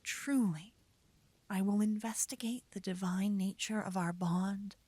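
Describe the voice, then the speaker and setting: Breathy, whispery woman acting a devoted fantasy lover. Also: medium pitch, fairly even intonation, dark tone, no echo. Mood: devoted, earnest. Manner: slow, intimate, soothing delivery. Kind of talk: romantic ASMR roleplay. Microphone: close, dry microphone.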